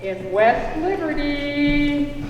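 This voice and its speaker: A woman playing a folksy storyteller of the 1850s, deliberately narrating a puppet show to an audience. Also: high-pitched, lively intonation, highs cut off, noisy background, exaggerated voice. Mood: recounting. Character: woman storyteller recalling 1850s events she lived through. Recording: echoey hall from far off, music.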